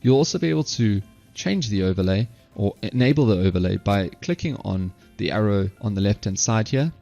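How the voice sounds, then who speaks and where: A man narrating an instructional software screencast step by step, halting at times and correcting himself. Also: fairly low pitch, expressive, gravelly, dull audio, soft background music. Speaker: software tutorial presenter.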